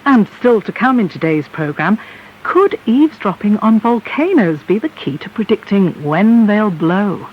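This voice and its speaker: A British woman hosting a radio interview, articulate and measured as she puts probing questions and presents the programme. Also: medium pitch, very animated, breathy edge. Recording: muffled hissy shortwave-style radio broadcast.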